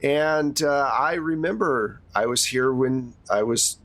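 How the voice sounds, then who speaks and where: A man playing a weary school principal in their sixties, frank and gently exasperated, talking haltingly in a tabletop roleplay. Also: medium pitch, dry recording. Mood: reminiscing. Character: weary sixty-two-year-old school principal nearing retirement, frank.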